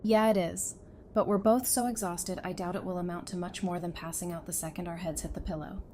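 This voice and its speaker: A woman playing a caring, gently teasing young survivor in an audio drama. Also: fairly low pitch, fairly even intonation, brisk, slight background noise, natural voice. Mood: gentle, tired. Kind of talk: fan audio drama acting.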